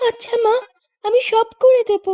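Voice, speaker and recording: Lady doing a sweet village girl for a cartoon, super high voice, dutiful, curious. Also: little pitch movement, normal speed, mellow. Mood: obedient. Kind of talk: melodramatic cartoon voice acting.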